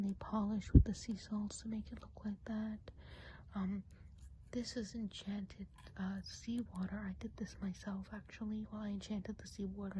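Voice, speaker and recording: A woman playing a kindly, chatty witch of the woods in a whispered ASMR role play, speaking gently and without hurry in a soft, breathy whisper. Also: medium pitch, slight background noise, lightly acted, rough recording. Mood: admiring. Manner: gentle, unhurried, chatty, hesitant asides.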